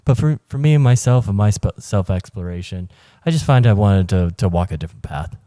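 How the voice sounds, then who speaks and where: Low-voiced man, hesitant podcast co-host. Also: animated, brisk, warm tone, no echo, clean studio sound. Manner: hesitant, many uhs and restarts. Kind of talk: casual podcast chat.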